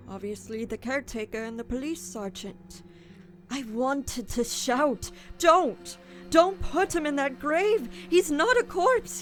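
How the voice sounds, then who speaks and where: Woman as a slow, guilt-ridden young man. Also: on the high side, close to an everyday voice. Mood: desperate. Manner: tormented, brooding, deliberate. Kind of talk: radio drama acting and first-person narration.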